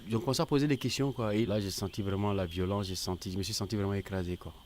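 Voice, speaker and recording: Middle aged guy telling his own story, lowish voice, candid, birds and background noise. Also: fairly even intonation, fast, a little mellow. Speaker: interviewee giving testimony. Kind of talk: conversational personal testimony. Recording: clear outdoor noise with birdsong. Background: birdsong and ambience.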